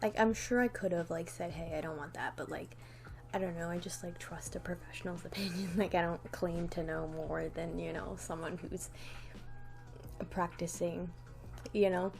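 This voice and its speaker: A woman's voice: lowish voice, fairly even intonation, moderate pace, creaky, darkish tone, soft background music. A young woman vlogger chatting casually to camera with a slight lisp from a new retainer, rambling with lots of "like" and restarts. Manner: rambling, many "like"s and restarts, light laughs.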